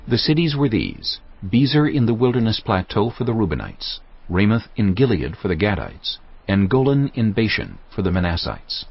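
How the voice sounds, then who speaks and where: A man narrating scripture from a script in a measured, formal storytelling manner. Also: lowish voice, dull audio.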